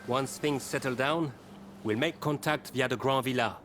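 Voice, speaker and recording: A man's voice: mid-range voice, moderate pace, a bit bright, faint music behind, natural voice. A man as a dutiful, mid-voiced escort. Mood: urgent, composed. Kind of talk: dramatic video game cutscene acting.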